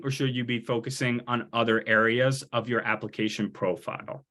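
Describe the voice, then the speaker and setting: A man's voice: mid-range voice, a bit bright. A man presenting in a webinar, explaining carefully with examples and analogies. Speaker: test prep presenter.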